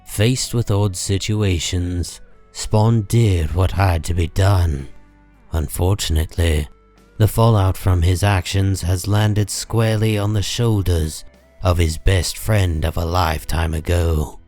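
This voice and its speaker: Male narrator, low voice, slow pace, grave, scripted comic book storytelling, soft music behind. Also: fairly even intonation.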